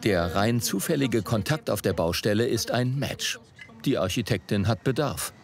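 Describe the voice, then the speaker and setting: A man's voice: fairly low pitch, lively intonation, moderate pace. A man reading scripted narration for a documentary report. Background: faint background music.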